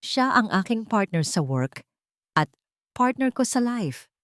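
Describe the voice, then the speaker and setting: A woman's voice: on the high side, sing-song, measured pace, a bit bright, dry recording, studio quality. A woman with a Filipino accent narrating a scripted first-person audio drama.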